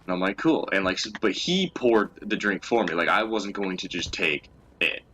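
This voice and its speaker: A man's voice: sits low, moderate pace, sharp tone. A young man telling casual stories in a profane, crudely teasing way, as a co-host chatting with a friend. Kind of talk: casual storytelling chat over a game.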